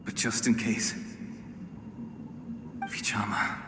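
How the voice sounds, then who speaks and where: An actor playing a dying male warrior putting on a brave face in a game, weak, breathy, weary and tender. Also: takes it slowly, lightly acted. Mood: tender farewell. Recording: echoing reverberant space with noisy ambience.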